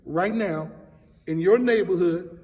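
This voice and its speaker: A man who directs stage plays, telling personal stories in an interview in an earnest, animated way. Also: sits high, takes it slowly. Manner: earnest, animated storytelling with acted-out quotes. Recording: echoey hall, distant microphone.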